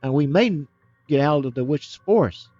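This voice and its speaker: A man's voice: on the high side, expressive, moderate pace, warm tone, no room sound, faint music behind. Earnest, worried young boy from a fairy tale, a man lifting his voice; the sound is dull and lo-fi. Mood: urging.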